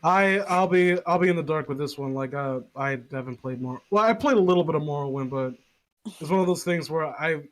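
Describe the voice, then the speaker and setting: A man's voice: on the high side, brisk, fairly bright tone. A man chatting casually with friends as a podcast co-host, rambling, sarcastic and swearing freely.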